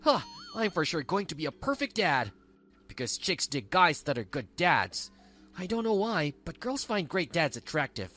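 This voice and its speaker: A careless, cocky young husband played by a man with swinging, animated intonation. He comes across as lazy in this manga dub, with music behind him. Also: on the high side, normal speed, heavily acted. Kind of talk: scripted manga dub dialogue.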